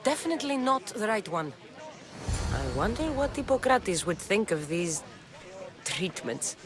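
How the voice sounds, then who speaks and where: An actress playing a determined young Greek mercenary in a video game, direct, dry and sceptical. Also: female voice, medium pitch, sing-song, a little slow, over music. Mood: impatient, dry. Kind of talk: video game dramatic dialogue.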